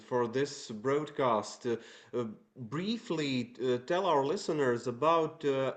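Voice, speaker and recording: Male interviewer, very slow pace, medium pitch, halting, careful wording. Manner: halting, careful phrasing with many hesitations. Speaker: radio interviewer. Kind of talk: measured interview questioning.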